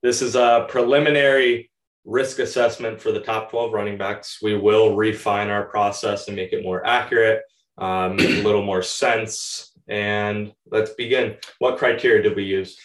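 A man's voice: lowish voice, fairly even intonation, moderate pace. A young man who sounds a little stuffed up, co-hosting a podcast with casual fantasy football analysis. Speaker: podcast co-host. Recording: roomy echo, distant from mic.

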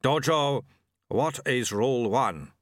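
A man voicing a stern male martial arts master who speaks in a measured, formal way. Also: mid-range voice, animated, no echo, clean studio sound.

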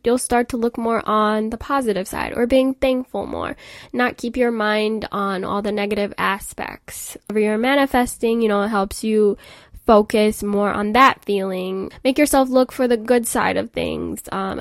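A woman's voice: fairly high pitch, no room sound, studio quality. A woman in her mid-twenties hosting a podcast, chatting informally in youthful, casual phrasing. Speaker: podcast host. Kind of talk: casual rambling podcast monologue on self-care.

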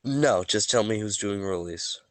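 A man's voice: on the low side, unhurried, no room sound. A man with a stuffy, sniffly voice, running a tabletop game as game master and giving a recap in a loose, joking way. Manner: loose, joking, with frequent restarts. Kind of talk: casual chat and recap narration.

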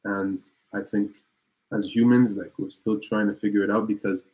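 A man in his early twenties, a native French speaker, chatting as a guest in a relaxed, laid-back way. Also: on the low side, moderate pace, poor audio. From Palestine. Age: early 20s. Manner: relaxed, laid-back, slangy, frequent restarts. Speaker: guest musician. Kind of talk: casual conversational interview.

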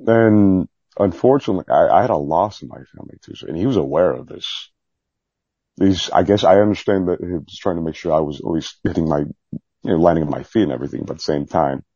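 A man with a strikingly deep, husky voice talks candidly as a guest in a casual interview. He rambles in a self-deprecating, lightly profane way. The recording is somewhat dull and muffled. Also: warm tone, no room sound. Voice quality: low, gravelly-husky voice. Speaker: podcast guest, Navy veteran.

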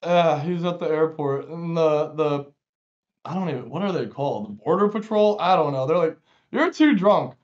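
A man's voice: a little high, lively intonation, normal speed, dull audio. A young man from a small town bantering on a podcast, joking, interrupting and prompting his co-host with questions. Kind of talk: casual banter.

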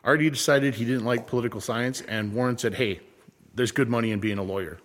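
A man from California who later lived in Minnesota, chatting casually and unscripted, halting and correcting himself as he rambles. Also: medium pitch. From San Francisco Bay Area, then Minnesota. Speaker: co-creator and actor of a fictional small-town show. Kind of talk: casual unscripted backstory discussion. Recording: echoey room with long reverb.

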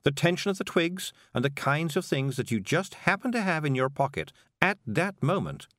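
A man voicing a cheerful, patient woman witch who gently explains things, in an audiobook. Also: a little high, sing-song, moderate pace, dry recording, studio quality, pitched above the performer's own voice. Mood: explaining. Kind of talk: audiobook character voice.

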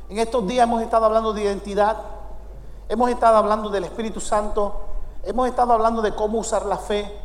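A man's voice: high-pitched, a little mellow. A man in his fifties with a Puerto Rican Spanish accent, preaching a sermon and calling out to his congregation. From United States. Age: 50s. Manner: preaching with call-and-response to the congregation. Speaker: pastor. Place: church sanctuary. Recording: long reverb of a large church hall.

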